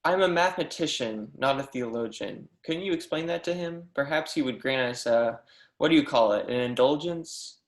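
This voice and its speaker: A man's voice: medium pitch, moderate pace, lightly acted. A man reading an eager young professor, echoey room. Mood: pleading, fervent. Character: eager, persistent young mathematics professor pleading his case. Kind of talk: amateur readers theatre script reading.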